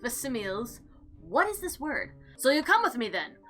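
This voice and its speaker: A woman gives expressive commentary on a game she is playing. Her voice is slightly high and bright, with very lively pitch. She is informal and theatrical as she reads and reacts. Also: normal speed, faint music behind. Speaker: gaming video host. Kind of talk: game playthrough commentary with dramatic read-aloud.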